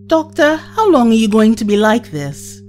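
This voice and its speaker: Casting: woman as wounded, emotional young sidekick in audio drama; slightly high voice, wide expressive swings, reproachful. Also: normal speed, faint music behind. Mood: hurt, reproachful. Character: hurt, emotional young woman, a time traveller's companion.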